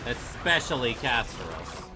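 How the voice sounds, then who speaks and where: A man's voice: mid-range voice, big pitch swings, a little slow, background noise. A man giving casual live reactions and commentary while streaming a video game. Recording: game music behind the voice.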